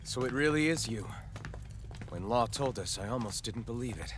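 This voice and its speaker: Actor as an expressive, earnest young hero. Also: male voice, mid-range voice, moderate pace, noisy background, close to an everyday voice. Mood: earnest calm. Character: earnest, resolute young male liberator hero. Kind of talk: dramatic video game voice acting. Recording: game music under the dialogue.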